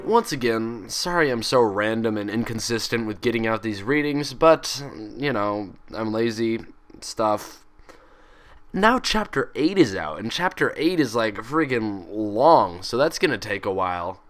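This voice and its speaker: A man's voice: on the low side, lively intonation, a little slow, fairly bright tone. A man talking casually as a host, in a rambling, self-deprecating way. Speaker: fan fiction reader and host. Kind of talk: casual sign-off chat.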